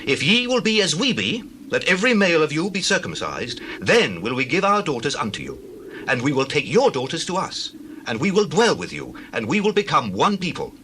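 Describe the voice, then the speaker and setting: A man's voice: fairly high pitch, huge pitch swings, brisk, pushed and bright, slight background noise, full character voice, poor audio. An actor playing an impassioned man of ancient times in a dramatized scripture reading, emphatic and declamatory. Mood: indignant, bargaining. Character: impassioned male suitor or brother in ancient times.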